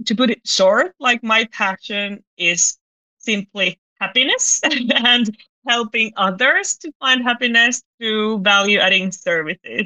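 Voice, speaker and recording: Bright-voiced Finnish woman, lo-fi sound. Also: medium pitch. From Finland. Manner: thoughtful, searching for words, light laughs. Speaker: guest, dog training company founder. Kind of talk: podcast interview.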